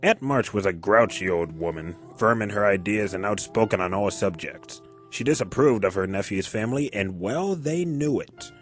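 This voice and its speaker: A man's voice: on the low side, close to an everyday voice. A man playing a storybook narrator in an audio drama.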